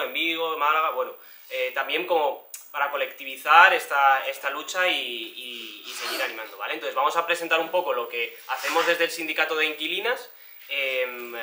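Young male activist, very bright, forceful voice, speaking informally from a little off the mic. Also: a little high. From Cantabria, Spain. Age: young adult. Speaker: tenants' union activist, speaker. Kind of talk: informal public presentation. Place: indoor social-centre room before an audience. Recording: echoey room, distant microphone.

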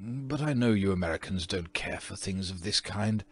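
A man's voice: sits low, lively intonation, normal speed, slightly rough, no echo. A man voicing a petulant, haughty old aristocratic English ghost in a storybook, speaking in a pompous, theatrical way. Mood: meek, defensive. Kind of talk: storybook character reading.

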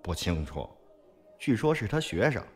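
A man's voice: fairly low pitch, big pitch swings, normal speed. A man playing a loyal, anxious underling of a boss in an audio drama, deferential and fretful. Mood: nervous warning. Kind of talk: audio drama acting in Mandarin.

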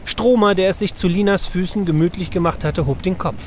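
A man's voice: sits high, a little fast, slight background noise, natural voice. A man narrating a children's adventure audio drama as a scripted storyteller. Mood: storytelling.

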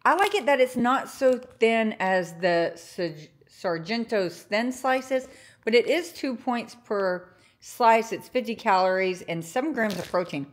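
A woman's voice: on the high side, lively intonation, unhurried. A young woman casually chatting about her grocery haul at home, enthusiastic, with lots of filler words like "like" and "um.". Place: home kitchen counter.

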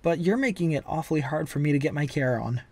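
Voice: mid-range voice, fast, darkish tone, dry recording, natural voice. A performer voicing a wisecracking, manic masked antihero in a comic-book dub, flippant and theatrical but caring underneath. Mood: flippant, joking. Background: background music.

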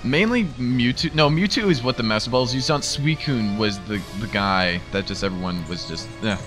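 A man co-hosting a gaming video in casual, teasing banter with excitable interjections. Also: mid-range voice, normal speed. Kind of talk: casual joking gameplay banter. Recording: game music behind the voice. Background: video game music.

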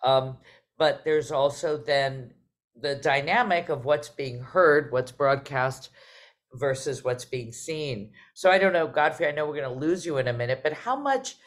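A woman's voice: sits low, unhurried. A middle-aged woman hosting a conversational interview over a video call in an enthusiastic way. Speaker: host, theatre artistic director.

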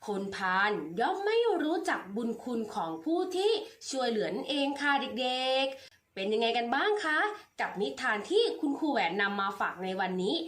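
A young woman teacher reads a fable aloud to small children. She talks in a high voice and uses a sing-song, exaggerated storybook delivery. Her pitch jumps up and down all the time. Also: big pitch swings, moderate pace, fairly bright tone. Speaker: kindergarten teacher.

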